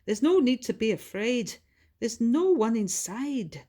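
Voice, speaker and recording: A woman reader plays a hearty older lady adventurer from a storybook. She speaks in a folksy lilting dialect, affectionate and full of exclamation, pitched up and a little tired. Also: very animated, measured pace, mellow. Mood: exclamatory.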